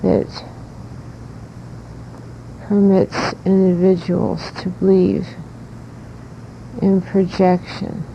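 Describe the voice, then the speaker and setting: A woman speaks as a spiritual teacher in an extremely slow, deliberate way, breaking her thoughts into short phrases. Her delivery stays very even, with a gravelly creak in the voice. It comes from a muffled old cassette with noise and rumble. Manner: deliberate, instructive, halting phrases.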